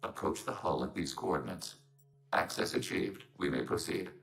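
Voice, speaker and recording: Video game machine soldier, extremely deep, crackling gravel, calm, clipped, logical, robotic processing. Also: male voice, moderate pace. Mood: flat, procedural. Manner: clipped, formal, emotionless phrasing. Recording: game audio under loud music.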